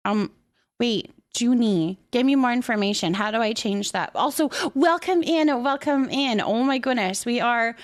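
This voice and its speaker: High-voiced, bubbly woman live streamer. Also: normal speed. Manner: bubbly, affectionate, chatty. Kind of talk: casual livestream chat, greeting viewers.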